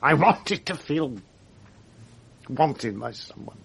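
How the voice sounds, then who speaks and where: An actor playing a guilt-ridden, self-loathing English husband in a radio drama, educated and apologetic, with a gravelly voice. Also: male voice, a little high, expressive, slow, a bit bright, heavily acted. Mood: bitter outburst. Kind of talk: radio drama acting, dialogue and first-person narration. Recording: 1980s radio drama with faint music.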